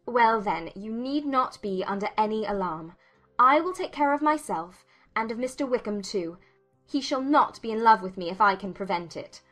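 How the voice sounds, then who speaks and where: A woman playing a witty, spirited young gentlewoman in an audiobook drama, expressive and articulate, teasing yet sincere. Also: on the high side, moderate pace, faint music behind. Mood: playful.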